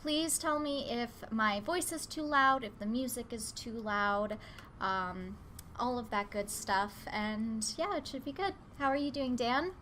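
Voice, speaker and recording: A woman's voice: fairly high pitch, a little slow, bright, forceful tone, a little hiss or hum. A woman with a cold, sounding a little nasal, chatting casually and rambling with fillers as she hosts a live drawing stream from home. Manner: easygoing, rambling, lots of filler words. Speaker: live-stream artist host. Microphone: open desk microphone.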